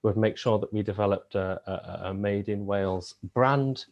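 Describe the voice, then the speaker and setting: A man speaking as a party candidate at a hustings, in a halting way with many pauses and repeated words. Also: lowish voice, muffled recording. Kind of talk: hustings speech.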